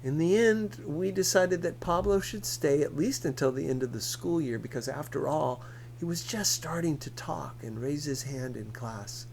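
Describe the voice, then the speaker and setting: A man's voice: on the high side, normal speed, vocal fry, a little mellow, slight background noise, poor audio. A man reading a first-person novel aloud as scripted narration. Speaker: audiobook reader.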